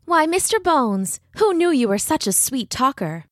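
Woman playing a playful, teasing young lady, lifted pitch. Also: very animated, moderate pace, no room sound, clean studio sound. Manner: teasing, genteel.

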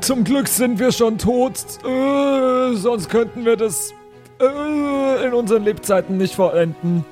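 A man playing a wry undead adventurer in an improvised role-playing audio game, speaking in a halting, stammering way. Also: very high voice, a little mellow, soft background music. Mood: wry, hesitant.

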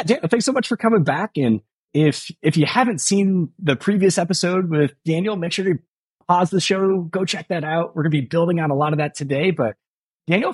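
Husky-voiced upbeat male host, dry close mic. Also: on the high side, dry recording. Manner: upbeat, enthusiastic. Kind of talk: show hosting.

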